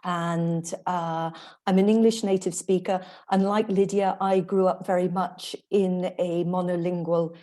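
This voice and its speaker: Low, mellow-voiced interpreter woman. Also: little pitch movement. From Ireland. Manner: measured, explanatory, with frequent uh fillers. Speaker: staff interpreter on a panel. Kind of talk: panel talk.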